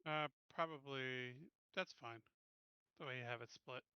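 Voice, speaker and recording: A man's voice: mid-range voice, measured pace, bright, forceful tone, clean tone, no room sound, dull audio. A man taking part in a tabletop game as a player, chatting casually.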